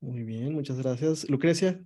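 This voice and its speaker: A man moderating a video call, calling on each participant in turn with brisk, short prompts. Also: mid-range voice, mellow.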